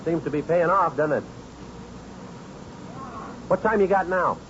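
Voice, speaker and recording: A man's voice: fairly high pitch, unhurried, fairly bright tone. A lightish-voiced, laconic lawman. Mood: offhand. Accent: American. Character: laconic male lawman on a stakeout. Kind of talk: 1950s radio drama, naturalistic dialogue. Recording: muffled, noisy 1950s radio recording.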